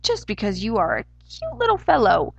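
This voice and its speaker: A woman's voice: medium pitch, wildly swooping pitch, normal speed, gravelly, husky, no echo, highs cut off, heavily acted. A woman voicing a fussy, eager male storybook hare with a German accent, exclamatory and easily indignant. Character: excitable, fussy male Easter hare wearing pants. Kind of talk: storybook character voice.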